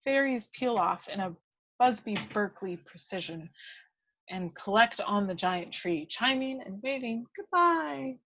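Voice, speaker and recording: A woman's voice: fairly high pitch, expressive, unhurried, fairly bright tone, highs cut off. A woman reading scripted stage directions as the narrator of an amateur table read.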